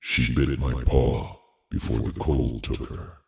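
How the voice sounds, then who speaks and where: An enormous corrupted beast chieftain for a fantasy audio drama, rumbles in an extremely low, gravel-filled voice. He draws out cruel, gloating threats slowly, echoing over music. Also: steady tone, a little mellow, exaggerated voice. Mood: cruel taunting. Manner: slow, menacing taunts.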